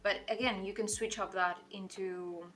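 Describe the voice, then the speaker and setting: A Portuguese woman with her accent, chatting in English while she draws on a livestream. She talks fast and rambles, sprinkling in "like" and catching herself mid-sentence, at a middling pitch. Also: steady tone, fairly bright tone. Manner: fast-talking, rambling, with frequent "like" and self-corrections. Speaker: artist streaming a drawing session. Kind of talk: casual livestream chat while drawing.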